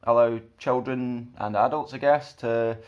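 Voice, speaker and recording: A young man hosting a children's storytime, reading a picture book aloud in an expressive way. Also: mid-range voice, a little slow, dull audio. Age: young adult. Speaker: storyteller, host.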